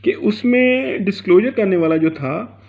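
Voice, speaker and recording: A man's voice: high voice, animated, a little slow, creaky. A man teaching law informally, point by point, mixing in English terms and often adding little check-in tags. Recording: dull lo-fi sound.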